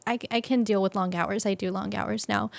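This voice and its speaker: A young adult woman with a creaky voice, talking informally as an interview guest and thinking aloud with frequent "like". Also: medium pitch, steady tone, normal speed, no room sound. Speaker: interview guest, business owner. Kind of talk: casual conversational interview.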